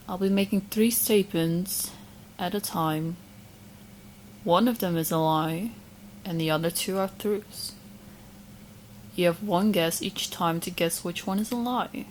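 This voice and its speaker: A woman's voice: on the low side, slight background noise, poor audio. A woman playing an obsessive, smug young witch in love, chatting coyly to the listener in a roleplay audio drama. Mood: sweetly confident. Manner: coy, smug, chatty, hesitant asides.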